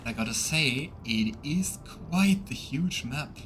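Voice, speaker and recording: A man's voice: medium pitch, expressive, slow, background noise. A man giving casual let's play commentary as he streams a game, talking to himself and his viewers.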